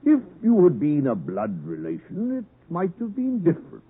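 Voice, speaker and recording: An actor playing a dry, shrewd lawyer in a 1950s radio drama, speaking formally and bluntly. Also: male voice, fairly high pitch, wildly swooping pitch, normal speed, husky, exaggerated voice. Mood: blunt, sceptical. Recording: muffled 1950s radio broadcast.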